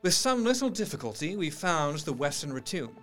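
A man's voice: fairly high pitch, normal speed, soft background music. A man playing a proper, troubled Victorian doctor, narrating his own horror story formally and with feeling in an audio drama. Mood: uneasy recounting. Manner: formal, expressive. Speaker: narrator in character.

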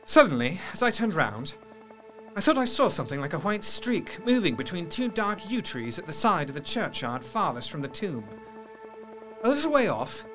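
An actor playing a troubled, sceptical gentleman doctor, narrating his diary in intense, formal literary diction for a gothic drama. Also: sits high, rough recording. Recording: music under the voice. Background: music.

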